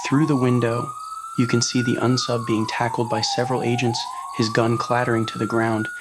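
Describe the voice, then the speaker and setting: A man's voice: mid-range voice, level tone, normal speed, very noisy. A man reading a scripted romantic roleplay story as narrator, in an ASMR audio style.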